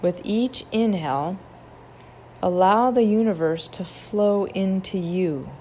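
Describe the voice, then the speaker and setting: A woman narrating a guided meditation in a gentle, measured, instructional manner. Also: mid-range voice, a little hiss or hum.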